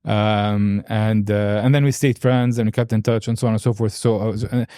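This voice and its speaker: A man's voice: lowish voice, fairly even intonation, moderate pace, dark tone, clear, resonant tone, dry recording, studio quality. A man hosting a podcast in a casual, informal and rambling interview chat. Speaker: podcast host.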